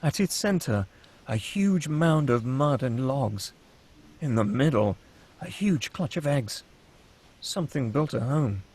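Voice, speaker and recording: A man's voice: medium pitch, animated, takes it slowly, a little mellow, husky. A man reading scene headings and stage directions as the narrator of a scripted sci-fi table read.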